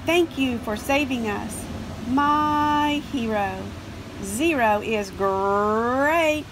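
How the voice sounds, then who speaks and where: A woman voicing chatty, sentimental cartoon counting numbers from a picture book, earnest and easily excited. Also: a little high, drawn-out words, background noise. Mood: grateful, excited.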